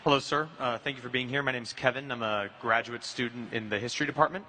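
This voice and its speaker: A man, a history graduate student, asks a polite and composed question from the audience. His voice has a bright, forward edge. A faint room noise sits behind him. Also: medium pitch, steady tone, quick pace. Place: large university lecture hall.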